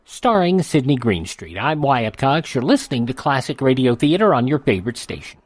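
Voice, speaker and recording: A man with an American accent hosting a radio show, reading an on-this-day almanac with chatty asides and drawn-out names. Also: medium pitch, big pitch swings, dry recording. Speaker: radio host. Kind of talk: scripted radio almanac narration. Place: radio studio.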